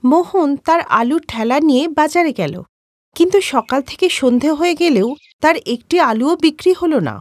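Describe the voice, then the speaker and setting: A woman's voice: high-pitched, lively intonation, normal speed, darkish tone. A woman giving scripted storytelling narration as the narrator of a tale.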